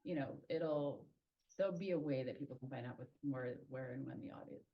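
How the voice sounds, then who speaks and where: A woman's voice: very deep voice, fairly quick, dull audio. A woman answering questions at a community meeting, thinking aloud with many ums and restarts. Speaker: school traffic safety organizer.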